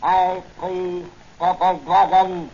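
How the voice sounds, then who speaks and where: An actor playing a menacing high priest of a dragon cult in 1940s radio melodrama, chanting solemn pronouncements in broken English. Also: male voice, flat delivery, slow, darkish tone. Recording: dull old 1940s radio recording, faint noise.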